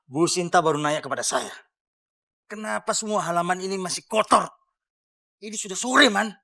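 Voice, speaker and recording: A man's voice: sits high, expressive, a little fast, sharp tone, full character voice. An actor playing a stern, scolding father in an Indonesian television drama, with an Indonesian accent. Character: stern father and wealthy householder, quick to scold.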